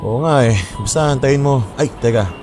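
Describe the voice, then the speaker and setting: A man's voice: medium pitch, normal speed, over music, natural voice. A man playing a young office worker in naturalistic, conversational audio drama dialogue. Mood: casual, teasing. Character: casual young office worker chatting on his commute.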